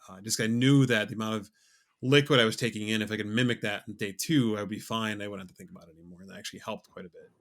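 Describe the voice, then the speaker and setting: A young man co-hosting a podcast, talking in a relaxed, rambling way with many fillers. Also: fairly low pitch, racing. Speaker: podcast co-host. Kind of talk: casual chat.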